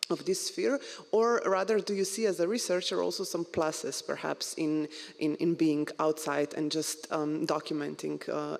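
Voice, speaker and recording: Higher-voiced moderator man, echoing hall. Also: vocal fry. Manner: polite, hesitant with many fillers. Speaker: conference moderator. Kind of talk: moderating a Q&A session. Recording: long hall reverb.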